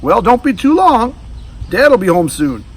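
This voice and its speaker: A man's voice: sits high, very animated, a little slow, husky, voice pushed up, exaggerated voice. A man voicing a boy's mother in a children's story, questioning and mildly amused. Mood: mild caution.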